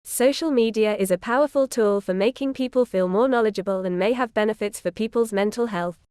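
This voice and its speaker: A woman's voice: medium pitch, a little fast, a little mellow, clear, resonant tone, no echo, clean studio sound. A woman reading a scripted informational passage aloud as language test practice. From India.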